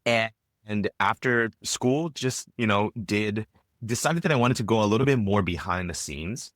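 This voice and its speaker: Low-voiced man, relaxed rambling. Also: moderate pace, dry recording, studio quality. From Israel. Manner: rambling, many fillers and restarts. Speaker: podcast guest, filmmaker. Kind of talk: casual interview chat.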